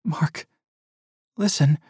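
A man's voice: drawn-out words, a little mellow, no room sound, clean studio sound. A man voicing a frantic, grieving middle-aged mother who pleads and sobs in an audiobook reading. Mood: urgent. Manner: pleading, sobbing, desperate.

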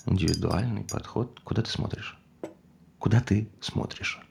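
An actor playing a nagging, quietly menacing husband in stage dialogue, halting and insistent. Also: male voice, fairly low pitch, creaky, husky, exaggerated voice. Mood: aggrieved.

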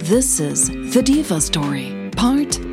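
A woman narrating scripted audio fiction in a measured storytelling manner, phrase by phrase. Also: medium pitch, very animated, music in the background. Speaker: podcast host and narrator.

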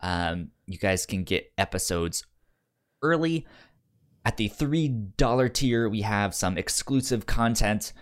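Low-voiced man, stammering podcast host. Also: expressive, slow. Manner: stammering, repeats syllables and words. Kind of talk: casual podcast chat.

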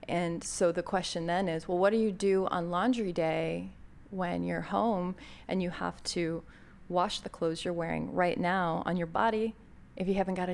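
A woman's voice: fairly low pitch, vocal fry. An American woman hosting a podcast, introducing an episode in a thoughtful, conversational way with frequent fillers. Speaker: podcast host.

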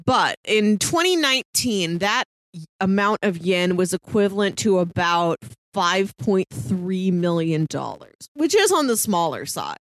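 A woman's voice: medium pitch, big pitch swings, measured pace, no echo. Woman chatting in a dry, close recording. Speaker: podcast co-host. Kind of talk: casual film discussion.

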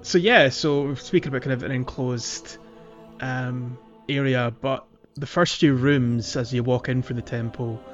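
A man's voice: mid-range voice, steady tone, unhurried. Man chatting over music, slightly dull audio. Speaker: podcast host. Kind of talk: casual discussion of a video game. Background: background music.

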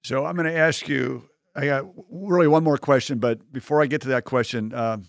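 A man hosting a podcast, asking friendly, measured questions. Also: medium pitch, fairly even intonation, creaky, no echo, studio quality. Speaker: podcast host. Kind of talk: podcast interview.

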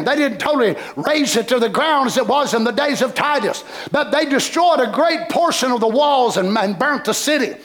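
An older man preaching a fervent sermon, breaking into shouted bursts and cries of hallelujah. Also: extremely high pitch.

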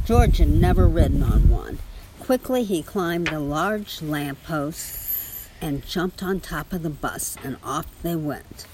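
Lower-voiced woman reading a children's story. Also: heavy background noise. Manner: expressive storytelling with small spoken asides. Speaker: story reader. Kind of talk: children's picture book read aloud.

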